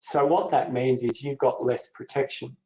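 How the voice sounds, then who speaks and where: Mellow-voiced man presenting. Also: medium pitch, normal speed. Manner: steady, explanatory, moderates the session. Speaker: legal aid co-presenter. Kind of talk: webinar presentation. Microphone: video call. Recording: dull echoey webinar audio.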